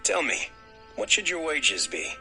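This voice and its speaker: A man playing a calm, calculating older patriarch and father in a dramatized scripture production. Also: on the high side, a little slow, gravelly, faint music behind, full character voice. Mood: welcoming.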